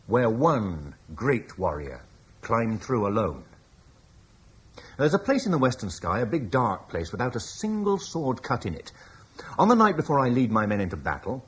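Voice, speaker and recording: A blunt British war chief. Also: male voice, slightly rough, exaggerated voice. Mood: solemn. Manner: blunt and plain-spoken, lively pitch. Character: proud battle-hardened British war leader, blunt. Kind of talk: radio drama acting.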